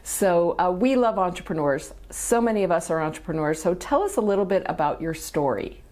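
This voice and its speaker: A woman's voice: on the low side, animated, brisk, darkish tone. Enthusiastic woman interviewer. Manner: enthusiastic, encouraging. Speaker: host, interviewer. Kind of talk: friendly interview.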